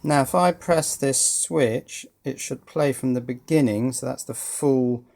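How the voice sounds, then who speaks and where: A man's voice: medium pitch, measured pace, gravelly, darkish tone, faint music behind. A man giving unscripted teardown commentary as a hobbyist presenter, thinking aloud with dry, understated humour.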